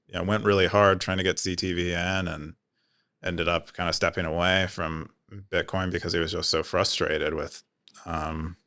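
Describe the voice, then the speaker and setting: Deep, smooth-voiced man chatting on a podcast. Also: sharp tone, no echo, clean studio sound. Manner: explains tech terms plainly, loose and chatty with many fillers. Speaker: podcast co-host. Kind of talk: casual chat.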